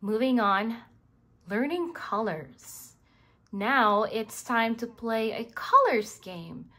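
Casting: woman, children's read-along narrator, high voice, very animated delivery, addresses the child listener. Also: slow pace. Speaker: children's book reader. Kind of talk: scripted read-aloud narration for young children.

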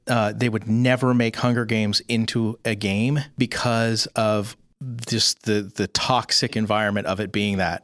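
A man's voice: medium pitch, no room sound. Man chatting casually on a podcast, dry studio sound. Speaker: podcast co-host. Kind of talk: casual chat about games.

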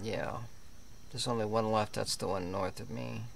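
A man's voice: fairly low pitch, fairly even intonation, moderate pace, gravelly, a little hiss or hum. A man streaming a game, roleplaying a polite, hesitant character with a put-on French accent and many fillers. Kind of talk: in-character roleplay chat and live gameplay talk.

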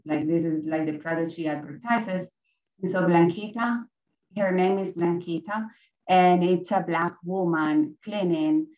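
A low-voiced young woman presents her classroom project, stopping often on fillers and false starts. She speaks into a laptop mic on a video call, so her voice sounds distant and roomy, with a slightly dull top end. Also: a little mellow. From Colombia. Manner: hesitant, many fillers and restarts. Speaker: teacher presenting at a conference. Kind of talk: conference presentation.

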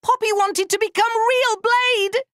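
A tiny, round furry inventor creature from a video game, with an extremely high, squeaky voice. It is proud and excitable, with bright, forceful delivery and childlike broken grammar. The recording is close and dry. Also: male voice, measured pace, no echo. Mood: earnest. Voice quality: squeaky, cartoonish. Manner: childlike broken grammar, third-person self-reference. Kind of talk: video game character voice lines.

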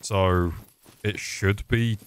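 Sweary guy streaming a game, talks slow, kinda low voice, crude sarcastic jokes. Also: fairly even intonation, a little hiss or hum. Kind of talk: swearing live gameplay commentary.

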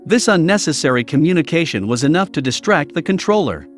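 A man reads a scripted documentary narration. His voice sits a little higher than most men's, and soft music plays behind him. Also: big pitch swings, fairly quick.

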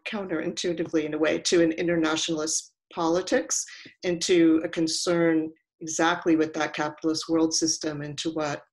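An older woman giving reflective, prepared remarks as a panelist in a measured, deliberate manner. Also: deep voice. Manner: measured, deliberate, long considered sentences. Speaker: panelist, scholar and writer.

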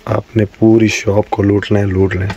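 A man's voice: on the low side, little pitch movement, normal speed, mellow, highs cut off. A man in his forties narrating a family vlog to a handheld phone camera, chatting his way through every step of the day. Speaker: family vlogger. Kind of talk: casual running vlog commentary. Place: in a car, at home and in a supermarket. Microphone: phone camera held at arm's length. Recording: noisy handheld phone audio.

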